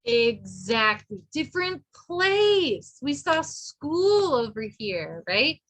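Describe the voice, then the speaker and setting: A woman teaching an adult English class in a high, bright voice. She speaks slowly and patiently and praises her learners often. She spells out each idea so they can follow. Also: very animated, poor audio. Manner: patient, encouraging, models words slowly.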